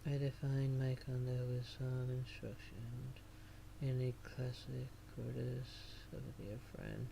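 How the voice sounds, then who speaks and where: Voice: mid-range voice, level tone, unhurried, creaky, background noise. A game streamer giving casual commentary and hesitantly reading dialogue choices aloud, half muttering.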